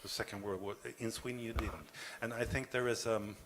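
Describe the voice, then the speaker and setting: A man from Norway answering at a panel discussion, speaking haltingly with many repeated words. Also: on the low side, steady tone, a bit bright, slight background noise.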